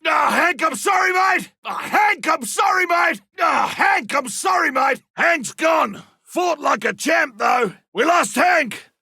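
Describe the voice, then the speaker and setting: A man voicing a gruff, hearty older Australian big-game hunter in a video game, gravelly, barking short battlefield lines. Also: very high voice, expressive, takes it slowly, bright, forceful tone, dry recording, shouting, clean studio sound, full character voice. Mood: loud, rueful.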